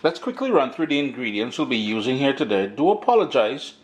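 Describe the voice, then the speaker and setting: A man's voice: medium pitch, very animated, brisk. Upbeat stuffy-nosed Caribbean man cooking. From Caribbean island. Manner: upbeat, chatty, slangy. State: stuffy nose from allergies. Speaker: cooking show host. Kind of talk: casual cooking demonstration. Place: home kitchen.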